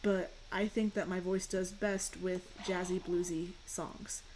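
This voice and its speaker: A young woman with a fairly deep voice, chatting casually and rambling while she streams a game and answers questions from chat. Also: flat delivery, a little slow, a little mellow, a little hiss or hum, rough recording.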